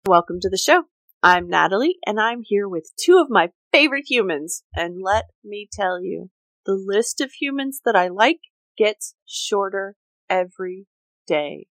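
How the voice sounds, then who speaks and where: A woman's voice: mid-range voice, expressive, a little slow, no echo, faint music behind. A woman hosting a casual, partly scripted podcast discussion in a jokey, informal way. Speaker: podcast host.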